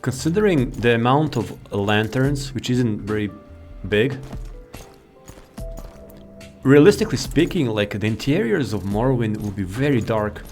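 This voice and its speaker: A man's voice: medium pitch, expressive, a little slow, pauses often, a little mellow. Casual male gamer with faint background music. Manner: rambling, informal, easily sidetracked. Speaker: gaming video host. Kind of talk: casual game mod showcase commentary. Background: faint game music.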